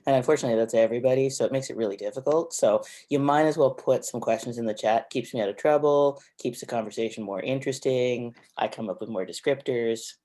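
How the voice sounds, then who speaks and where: A woman's voice: extremely low pitch, quick pace, few pauses, mellow. A woman co-presenting online in a casual, playful and wry way. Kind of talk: casual joking chat. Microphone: video call.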